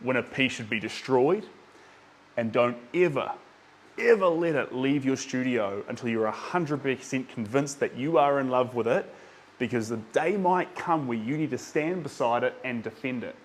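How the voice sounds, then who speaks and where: A man's voice: medium pitch, normal speed. A young man from New Zealand with a Kiwi accent, chatting casually with viewers while he paints, rambling with lots of ums. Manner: chatty, rambling with many ums, reads out chat names.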